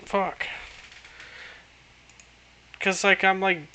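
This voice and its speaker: A man's voice: high voice, level tone, extremely slow, noisy background. A young man giving casual, rambling reaction commentary with a crude, self-mocking way of talking.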